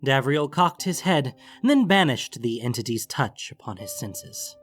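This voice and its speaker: A man's voice: mid-range voice, sing-song, a little slow, soft background music. A man narrating a scripted fantasy story as an audiobook.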